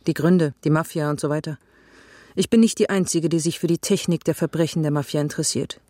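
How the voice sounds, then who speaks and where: An actress playing a serious, guarded police investigator in a radio drama, speaking earnestly and reflectively. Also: female voice, low-pitched, steady tone, fairly quick, mellow, no room sound, clean studio sound, close to an everyday voice.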